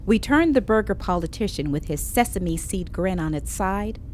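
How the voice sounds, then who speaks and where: An actress playing a tense, caring police investigator, narrating a grim story in measured, literary first person. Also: female voice, medium pitch, expressive, a little mellow, a little hiss or hum, natural voice. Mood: measured, uneasy. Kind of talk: first-person scripted audio drama narration.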